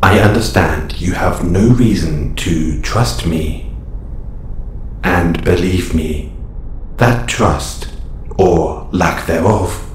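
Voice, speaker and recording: A man playing a calm, formal robot superhero with quiet menace, speaking in stiff, precise phrases in an audio roleplay. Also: low voice. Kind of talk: scripted audio roleplay acting. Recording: echoey, faint background ambient noise.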